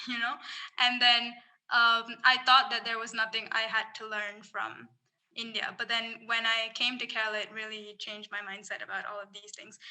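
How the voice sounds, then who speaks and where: Young woman from singapore, really bright voice, kinda flat melody, earnest and thoughtful. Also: a little high, rough recording. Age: young adult. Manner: earnest, thoughtful, many restarts. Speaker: guest, climate researcher. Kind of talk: casual podcast interview.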